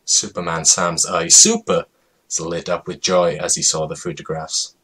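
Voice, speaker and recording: Husky low-voiced man reading dramatically. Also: level tone, a little slow, sharp tone. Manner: mock-dramatic, emphatic. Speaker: story narrator.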